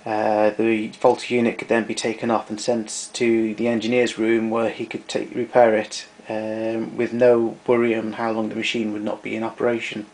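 A man's voice: fairly low pitch, completely flat delivery, normal speed, hardly any pauses, a little mellow, poor audio. A man giving an unscripted technical demonstration in a matter-of-fact, somewhat hesitant way. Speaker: demonstrator of vintage tape recorder.

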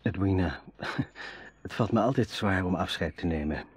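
A man's voice: low-pitched, moderate pace, breathy edge, no room sound. An actor playing an eloquent, sentimental dreamer in a radio drama, savouring his phrases theatrically. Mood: wistful.

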